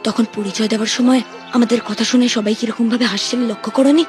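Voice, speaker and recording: A woman's voice: a little high, steady tone, fast, a little mellow, soft background music, lightly acted. A woman voicing a frank, worried young schoolboy, a little brother in a cartoon, candid and fretful. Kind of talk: cartoon acting.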